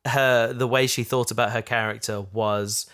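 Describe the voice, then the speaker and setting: A man in a casual discussion as a podcast host, speaking haltingly and often restarting his sentences. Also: mid-range voice, fairly even intonation, a bit bright, smooth. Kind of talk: casual discussion of an audio drama.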